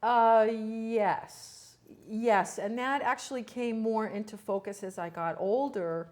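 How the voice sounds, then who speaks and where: Older woman recalling childhood, halting. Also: fairly high pitch, darkish tone. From United States. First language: Ukrainian. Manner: halting, many fillers and restarts, laughs at herself. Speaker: interview guest. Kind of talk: reflective personal storytelling.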